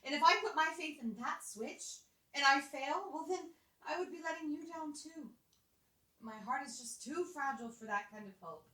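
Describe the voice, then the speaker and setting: A woman's voice: sits high, animated, moderate pace, pushed and bright. A woman voicing a fragile, motherly old bird in a puppet show, doting, hesitant and resigned. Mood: fragile, apologetic. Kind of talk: live puppet show acting. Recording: echoey room, distant mic.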